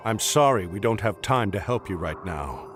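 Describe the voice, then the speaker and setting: An actor playing a resolute, noble commander hero in a video game cutscene. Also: male voice, fairly low pitch, lively intonation, moderate pace, fairly bright tone, faint music behind. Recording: slightly noisy game screen capture.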